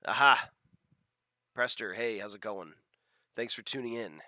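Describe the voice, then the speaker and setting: A man's voice: lowish voice, normal speed, pushed and bright, dry recording, studio quality. A man hosting a live game stream, chatting casually and self-deprecatingly to viewers through a headset, congested from a head cold.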